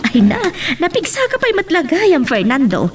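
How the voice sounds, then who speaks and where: Village mother for Ilocano radio drama, played by a woman: practical, fussy, lively intonation, recorded a little distantly. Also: sits high, moderate pace. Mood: concerned. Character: middle-aged village mother and wife, practical, fussing. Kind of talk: Ilocano radio-style family drama acting. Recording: distant mic, faint background noise.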